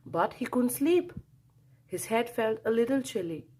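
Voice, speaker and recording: A woman's voice: a little high, big pitch swings, measured pace, mellow, slight background noise. A woman reading a children's storybook aloud expressively, engaging young listeners with questions and a dramatic telling.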